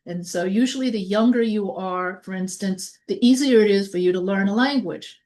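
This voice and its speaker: A woman giving a recorded college lecture. She reads her notes in a measured way, then explains things off the cuff with restarts and fillers. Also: medium pitch, darkish tone. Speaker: college instructor.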